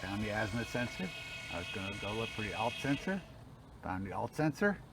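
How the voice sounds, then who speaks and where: A man with a fairly low voice walks through a hobby technical demonstration. He is plain-spoken and informal, narrating each step as he does it. Some noise sits behind his voice in what sounds like a homemade recording. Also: big pitch swings, fairly quick. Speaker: hobbyist demonstrating a homemade telescope automation setup. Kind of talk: informal hands-on technical demo. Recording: noticeable background noise, homemade video.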